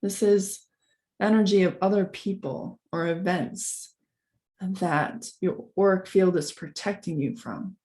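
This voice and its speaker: Mellow-voiced woman leading a guided meditation. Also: lowish voice. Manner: calm, gentle, unhurried with long pauses. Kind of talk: guided meditation, soft instruction.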